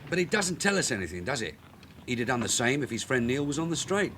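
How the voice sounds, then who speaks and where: A shrewd veteran detective sergeant in a radio drama. His delivery is terse and dryly understated, yet the pitch moves about a lot. He sounds guarded and wry. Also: sing-song, quick pace, fairly bright tone, slight background noise. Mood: wary. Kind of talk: naturalistic radio drama acting.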